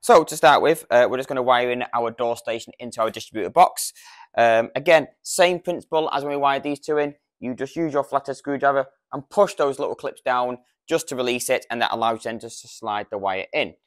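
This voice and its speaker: British guy in his 30s walking through a how-to, mid pitched voice, practical and informal, lapel mic. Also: brisk. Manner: practical, explanatory. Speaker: product demonstrator. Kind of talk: informal step-by-step tutorial. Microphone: lavalier lapel microphone.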